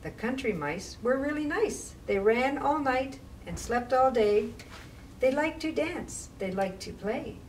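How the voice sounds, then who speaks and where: Woman, children's storytime reader, unhurried pace, expressive phrasing, distant mic, faint background noise. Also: high voice. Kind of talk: read-aloud children's rhyming story with casual asides.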